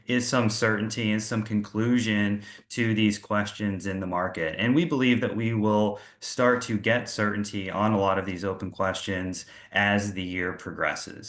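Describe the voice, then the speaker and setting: A man's voice: lowish voice, fairly bright tone. A man working as a financial analyst, presenting a market outlook on a call. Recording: roomy, echoey room sound.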